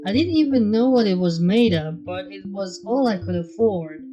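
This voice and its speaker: A woman's voice: mid-range voice, lively intonation, moderate pace, muffled recording, music in the background, lightly acted. A woman voicing a blunt, world-weary pony with a dry manner in a fan story reading.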